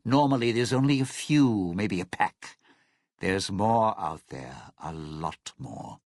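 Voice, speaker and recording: Sardonic lounge crooner type, wildly swooping delivery. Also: male voice, lowish voice, lively intonation, takes it slowly, darkish tone, no echo, studio quality. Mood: cautionary, grim. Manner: wry, sardonic, smooth-talking menace. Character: cynical, threatening male lounge entertainer, sly post-apocalyptic survivor. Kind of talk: video game character dialogue.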